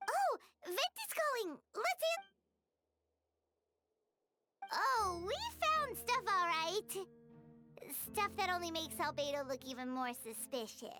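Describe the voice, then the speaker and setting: A woman voicing a small, eager, childlike fairy companion in a video game, expressive and exclamatory. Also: extremely high pitch, slow pace, long pauses, full character voice. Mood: excited, concerned. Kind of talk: scripted video game voice acting. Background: playful game music.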